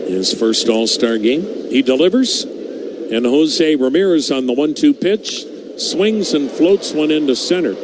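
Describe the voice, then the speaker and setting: Male American baseball play-by-play announcer. Slow, measured delivery in clipped phrases, over clear stadium background noise. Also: a little high. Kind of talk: live play-by-play commentary. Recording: noisy live ballpark ambience.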